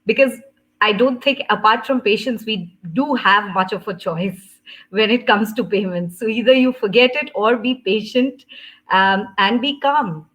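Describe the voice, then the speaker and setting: A woman answering as a panel guest, measured and pausing often. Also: mid-range voice, fairly bright tone, poor audio.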